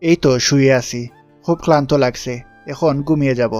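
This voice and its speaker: A man's voice: mid-range voice, normal speed, a little mellow, faint music behind, lightly acted. A man playing an easygoing, loyal schoolboy best friend in an audio story. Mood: sleepy.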